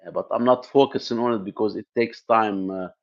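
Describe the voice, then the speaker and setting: A man from Lebanon whose first language is Arabic chats on a live stream about tech topics. His voice sits a little low and he rambles with fillers and false starts. The audio is dull and lo-fi. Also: steady tone, a little fast. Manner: rambling, many fillers and restarts. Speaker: tech channel host. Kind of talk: casual live stream chat to viewers. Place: home in the US Midwest.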